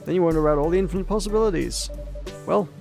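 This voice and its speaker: A man's voice: sits high, racing, creaky, a little mellow, soft background music, pitched above the performer's own voice. A man voicing a cheerful, sappy older shopkeeper in a visual novel, upbeat and folksy. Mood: friendly.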